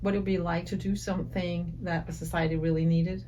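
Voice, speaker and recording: A woman's voice: lowish voice, little pitch movement, fairly quick, dark tone, slight background noise. A woman, a singer-songwriter, talking thoughtfully about her album, with restarts as she looks for words. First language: Danish. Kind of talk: casual conversation. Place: recording studio.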